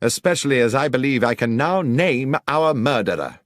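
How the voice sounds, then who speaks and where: A commanding gentleman detective performed by a man from a mystery storybook, courteous yet brisk. His formal, precise speech rises and falls with great animation in a dry, close recording. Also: mid-range voice, expressive, no echo, voice pushed up, lightly acted. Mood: triumphant.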